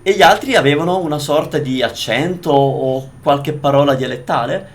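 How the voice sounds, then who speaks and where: A man's voice: a little high, fairly quick. A man from Italy teaching Italian, explaining phrases with restarts and fillers, switching between English and Italian. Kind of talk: language lesson commentary.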